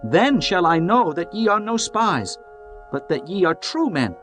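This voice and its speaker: Man playing worried earnest brother, high voice, lively intonation. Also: measured pace, heavily acted. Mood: anxious. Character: anxious young brother reporting to father. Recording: music bed behind voice.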